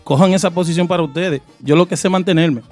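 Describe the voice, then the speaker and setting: A man from New York, a guest musician chatting casually in an interview, easygoing and quick to laugh, now and then slipping into English. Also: a little high, a little mellow, faint music behind.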